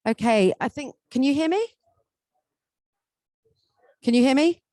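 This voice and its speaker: A woman's voice: high-pitched, animated, a little slow, warm tone, dry recording, studio quality. A woman from England, a council leader, opening and moderating a policy panel with a formal welcome and frequent ums. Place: conference hall. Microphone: table microphone.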